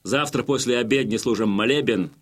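A man's voice: medium pitch, brisk, slightly rough, natural voice. An actor playing a stern Cossack chief in a radio play, addressing his men with authoritative, folksy oratory. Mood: instructing, firm. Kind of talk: radio play acting, rousing military address.

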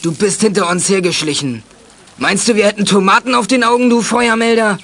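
High-voiced sneering teenage bully. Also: male voice, a little fast, fairly bright tone, a little hiss or hum. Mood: sneering threat. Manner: mocking, slangy, threatening. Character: taunting teenage bully boy, sneering and threatening.

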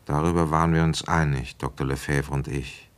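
Male police inspector for radio drama: very low pitch, reflective, methodical, measured delivery. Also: fairly even intonation, smooth. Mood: reflective, musing. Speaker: radio drama actor, narrator and lead. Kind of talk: German radio play narration and dialogue.

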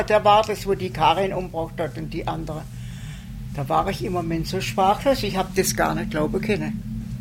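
A woman with a southwestern German accent recounting memories in a colloquial, emphatically repetitive way. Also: mid-range voice. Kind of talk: personal recollection in an interview.

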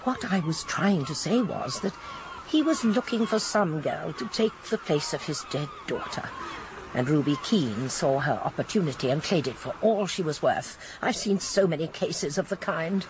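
Elderly English spinster, shrewd, genteel, precise, expressive pitch, radio drama, faint background noise. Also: female voice, moderate pace. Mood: shrewd, gossipy. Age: older. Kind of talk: British radio drama acting.

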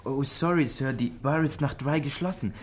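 An actor playing a polite, brisk male hotel servant with a servile manner in a German radio drama. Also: medium pitch, close to an everyday voice. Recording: distant, echoey, faintly noisy hotel hall.

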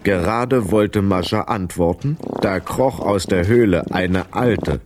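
A man's voice: low voice, slow, darkish tone, slight background noise. A man narrating a scripted children's story as the narrator of a radio play.

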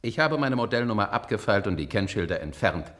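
An actor playing a loyal, stubborn robot in a German science-fiction radio drama, earnest and deferential. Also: male voice, fairly low pitch, very animated, a little fast, a bit bright. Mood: calm, reassuring. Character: loyal, stubborn male robot protective of his robot sons.

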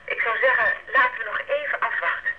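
A woman's voice: on the high side, fairly even intonation, fast talker, natural voice. An actress playing a level-headed woman operating the radio at a mission post in a radio play. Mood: calm, reasoning. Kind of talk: Dutch radio drama acting. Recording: dull, lo-fi radio play recording.

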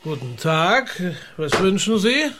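A man's voice: high-pitched, sing-song, slow, noisy background, heavily acted. An actor playing a shrewd, wry small-town newspaperman in a radio drama, drawling and sardonic. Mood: amused, polite.

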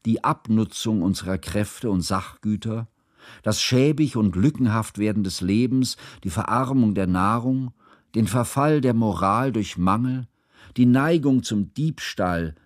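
A man acting in a radio drama as a grave, reflective narrator recalling a war in formal, measured literary language. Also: lowish voice, no room sound, clean studio sound, close to an everyday voice. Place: studio.

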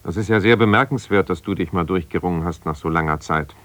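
An actor playing an embittered, self-righteous husband, a lawyer, reproachful and exasperated on one side of a phone call in a naturalistic radio play. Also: male voice, deep voice, quick pace, a bit bright, no echo. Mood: cool, reproachful. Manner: defensive, reproachful, exasperated, insistent.

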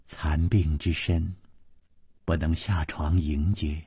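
A man voicing a frail, graceful noblewoman in an audiobook, speaking gently and courteously with sighs. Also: rumbling low, level tone, takes it slowly, breathy edge, dry recording, clean studio sound, heavily acted. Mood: gentle. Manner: gentle, sighing, polite.

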